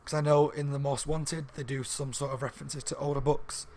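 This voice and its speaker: A slightly hoarse man reviewing a book in a rambling, casual chat at home, with lots of ums and restarts. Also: mid-range voice, flat delivery, brisk, a little mellow. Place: room at home by a window on a main street.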